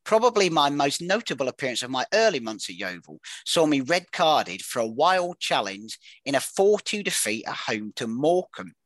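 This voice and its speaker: A man's voice: mid-range voice, very animated, normal speed, few pauses, fairly bright tone, no room sound. Man reading quiz clues, dry. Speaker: quiz host. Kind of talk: reading footballer career clues aloud.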